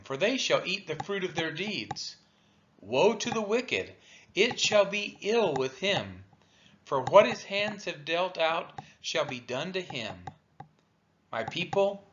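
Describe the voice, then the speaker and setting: A man reads scripture solemnly at a slow, deliberate pace, pausing between phrases. His voice sits at a middle pitch. The recording is dull, with clear noise behind him. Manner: measured, deliberate phrasing with pauses. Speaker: scripture reader for a church read-along. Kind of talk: solemn scripted Bible reading.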